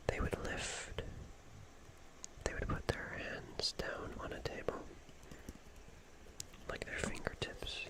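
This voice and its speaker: A young man whispering casual, rambling ASMR in a hushed, gentle manner with long pauses. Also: rough recording. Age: young adult. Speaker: ASMR host.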